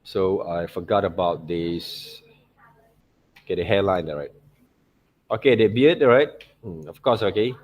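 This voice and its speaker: A man giving an unscripted step-by-step tutorial as an art teacher, halting and repetitive, often checking in with short tag words. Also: low voice, a little mellow. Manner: halting, repetitive phrasing with frequent "okay, right, see" checks. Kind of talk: unscripted step-by-step drawing demonstration.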